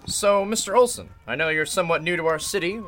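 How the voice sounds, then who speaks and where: A man's voice: on the high side, quick pace, a bit bright, faint music behind, natural voice, poor audio. A man playing an affable, courteous district attorney in a radio drama, chatty and curious. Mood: affable, charming. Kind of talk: golden-age radio drama acting.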